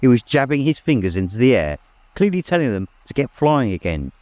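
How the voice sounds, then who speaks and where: A man's voice: medium pitch, very animated, brisk, darkish tone, dry recording. A man narrating a story in a dramatic, scripted audiobook style.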